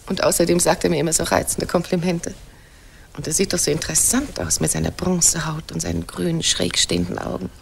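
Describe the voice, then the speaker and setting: An actress playing a proud, sharp-tongued, flirtatious Greek wife in a radio play, mocking and coquettish. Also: female voice, lowish voice, flat delivery, gravelly, slight background noise. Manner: mocking, coquettish, quick to needle.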